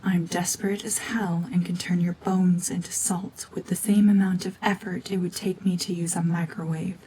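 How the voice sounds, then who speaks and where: A woman voicing a cold, mean renegade gorgon witch in a hushed, half-whispered ASMR drama, domineering and sardonic. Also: fairly low pitch, level tone, moderate pace, a little hiss or hum, close to an everyday voice, low-quality recording. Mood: cold menace.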